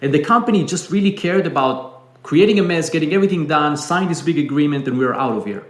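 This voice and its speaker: A man from Israel with an Israeli accent, a guest telling his story in a calm, reflective way. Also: a little high, fast talker. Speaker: business owner guest. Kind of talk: interview storytelling. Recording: echoey room.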